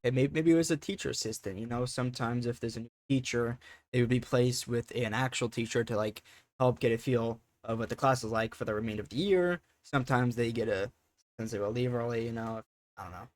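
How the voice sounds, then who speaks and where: A man's voice: medium pitch, brisk. A man giving casual off-the-cuff reaction commentary, thinking aloud hesitantly and often trailing off. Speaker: reaction video host.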